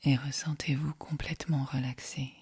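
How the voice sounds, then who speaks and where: A woman guiding a yoga nidra meditation in a soft, breathy, whispery voice, calm and soothing. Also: very deep voice, no echo. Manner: calm, soothing, measured delivery. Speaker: meditation guide.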